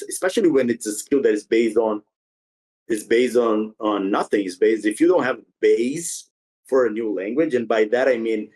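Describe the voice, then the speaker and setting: A man's voice: medium pitch, a little mellow, rough recording. A man whose first language is Portuguese, talking earnestly as a podcast guest, with some non-native phrasing. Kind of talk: casual interview talk.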